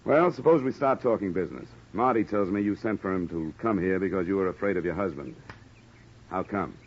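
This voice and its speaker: An American actor playing a cool, wisecracking hardboiled investigator in an old-time radio noir, with a dry, deadpan delivery. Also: male voice, low voice, fairly quick, slightly rough. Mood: dry, probing. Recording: dull vintage radio recording, music behind.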